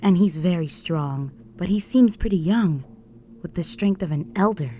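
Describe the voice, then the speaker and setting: A woman's voice: on the low side, animated, moderate pace, dark tone, a little hiss or hum, close to an everyday voice. A woman voicing a deferential human guard serving a vampire countess, formal and earnest, in a scripted audio roleplay. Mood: dutiful, reporting.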